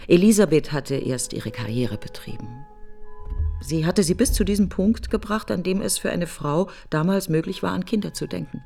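A woman's voice: a bass voice, vocal fry, warm tone, full character voice. An actress playing a middle-aged, bitter woman mourning her best friend in an intimate, brooding radio drama monologue.

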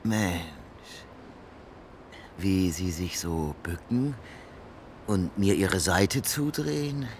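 An actor playing a talkative, sly homeless beggar in a radio crime drama, speaking colloquially and theatrically. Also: male voice, lowish voice, a little hiss or hum, exaggerated voice.

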